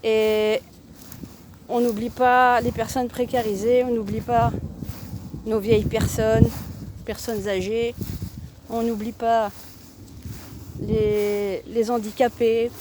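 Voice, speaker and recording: A woman's voice: a little high, flat delivery, slow, long pauses, noisy background. A woman from France talking on an outdoor walking vlog, emphatic and repetitive, often restarting her words. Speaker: vlogger. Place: outdoors on a walk in a town.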